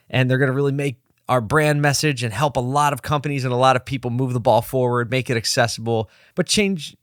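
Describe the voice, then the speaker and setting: A man hosting a solo podcast from his office desk, slightly hoarse and low on energy, talking in a rambling, candid way and pepping himself up as he goes. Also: fairly bright tone, no echo, clean studio sound. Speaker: podcast host. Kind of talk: casual solo podcast talk.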